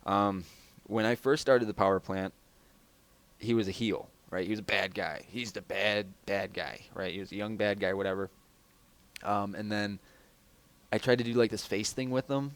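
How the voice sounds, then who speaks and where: A man with a low, raspy baritone voice hosting a podcast, chatting loosely as he answers listener questions over a headset mic. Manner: loose, rambling delivery. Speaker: podcast host.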